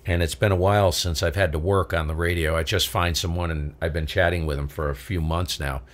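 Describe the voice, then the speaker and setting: Deep-voiced man hosting a radio stream. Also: steady tone, a bit bright. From Vermont. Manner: chatty, exclaims at what he sees on screen; spells call signs slowly and clearly on air. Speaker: amateur radio operator and stream host. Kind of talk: casual live-stream commentary and radio contacts.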